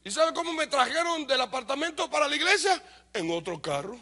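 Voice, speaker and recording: A man with a Dominican accent preaching a lively sermon to a congregation. He asks questions and answers them himself, and he shouts some points before dropping to a low aside. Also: a little fast. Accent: Dominican Spanish. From Dominican Republic. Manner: animated, shouts for emphasis, then drops to a low aside. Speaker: pastor.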